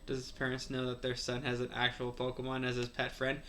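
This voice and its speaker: A man's voice: mid-range voice, monotone, fairly quick, bright, forceful tone. A man reading a story aloud as a co-host, slipping in casual asides.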